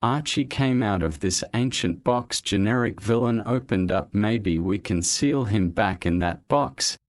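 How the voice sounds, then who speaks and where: A man's voice: fairly low pitch, unhurried, no room sound, studio quality. A man playing an earnest, concerned cartoon hero in scripted dialogue. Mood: thoughtful.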